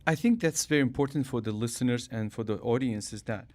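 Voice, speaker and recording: A man's voice: medium pitch, fast, a little mellow. Chatty male interview host. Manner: chatty, jokey asides. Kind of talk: interview conversation.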